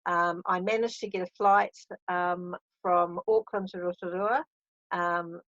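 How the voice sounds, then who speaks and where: Woman from New Zealand, lowish voice, hesitant with many ums, dull dry video call sound. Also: steady tone, measured pace, fairly bright tone, no room sound. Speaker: interviewee. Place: caravan at a beach.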